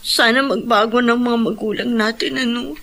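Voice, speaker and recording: An actress playing a young, hurt but dutiful daughter and caring older sister in a Filipino radio drama, gentle and plaintive. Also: female voice, a little high, steady tone, normal speed, creaky. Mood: wistful, sad.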